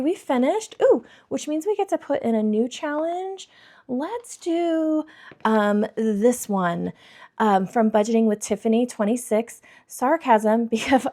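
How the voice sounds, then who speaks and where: Bubbly woman with a croaky throat. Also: on the high side, mellow. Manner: bubbly, addresses viewers as friends, counts money aloud. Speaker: budgeting vlogger. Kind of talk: casual chatty vlog, counting cash.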